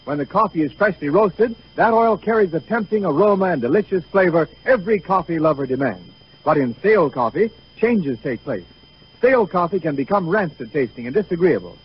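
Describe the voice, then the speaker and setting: Vintage radio commercial man: high, measured, emphatic sales read, dull old broadcast sound. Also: sing-song, slight background noise. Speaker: commercial announcer. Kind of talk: 1930s radio advertisement, scripted. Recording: muffled 1930s radio recording.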